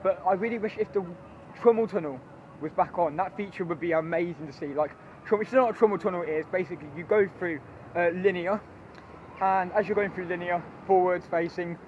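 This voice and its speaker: A hoarse young man, chatty and rambling as he walks through a theme park with his phone. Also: high voice, fairly quick, lo-fi sound, slight background noise. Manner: chatty, rambling, excitable, many ums. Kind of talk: walking vlog commentary.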